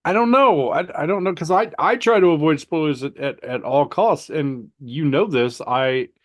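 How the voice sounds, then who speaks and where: A man co-hosting a casual podcast with a stuffy, nasal voice, telling stories in a halting way with many restarts. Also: on the high side. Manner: halting, repeats words, storytelling. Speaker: podcast co-host.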